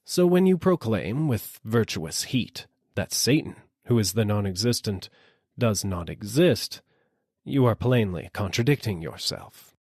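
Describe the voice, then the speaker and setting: A man's voice: fairly low pitch, big pitch swings, unhurried, a little mellow, slightly rough, no room sound, exaggerated voice. Man acting pedantic male philosopher, storybook, patronising, formal, condescending, dry close recording.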